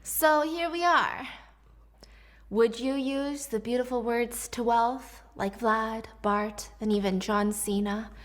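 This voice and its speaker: High-voiced female narrator for a commentary clip; brisk, upbeat, scripted read. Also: steady tone. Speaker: narrator of a played commentary video.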